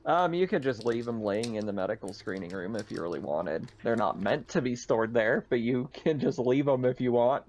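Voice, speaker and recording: A man's voice: mid-range voice, animated, normal speed, few pauses, darkish tone. A man acting as game master, explaining things in an informal, matter-of-fact way. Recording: dull lo-fi mic, keyboard noise.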